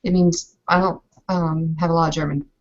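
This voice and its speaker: Woman playing a low-pitched, composed Bavarian bride. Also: little pitch movement, normal speed, highs cut off. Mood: matter-of-fact. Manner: hesitant, matter-of-fact, searching for words. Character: composed, frank Bavarian bride newly arrived in America. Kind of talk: improvised tabletop roleplay.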